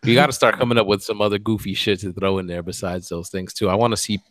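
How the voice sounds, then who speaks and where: A man's voice: lowish voice, steady tone, fast, a bit bright, dry recording. A man hosting a casual group podcast, running a quiz segment with loose, swearing banter.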